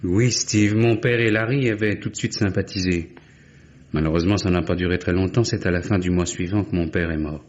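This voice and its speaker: Muffled vintage radio; man plays earnest heir in his late twenties, very low voice, troubled. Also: a little fast, close to an everyday voice. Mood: sombre, recounting. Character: young married heir, earnest, troubled son. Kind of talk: 1950s French radio drama acting.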